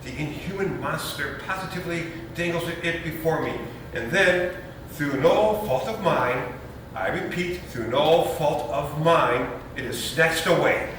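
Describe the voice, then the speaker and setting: A man's voice: on the high side, unhurried, fairly bright tone. An actor playing a pompous, scheming lawyer and new father in a stage comedy reading, blustering in grand emphatic phrases. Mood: aggrieved. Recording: echoey noisy hall from far off.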